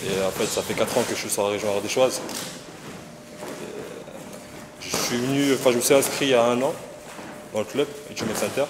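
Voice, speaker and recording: A young man in his early twenties from Paris, a kickboxer answering questions shyly and haltingly in a casual way. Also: medium pitch, fairly even intonation, big-room sound, background noise. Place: martial arts gym.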